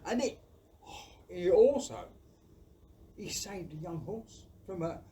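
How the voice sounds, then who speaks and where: An actor playing a warm, earnest farmer father in an audio play, rambling and repeating phrases in a folksy way. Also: medium pitch, animated, slow pace. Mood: earnest, proud. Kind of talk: community audio play acting. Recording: distant mic with faint background noise.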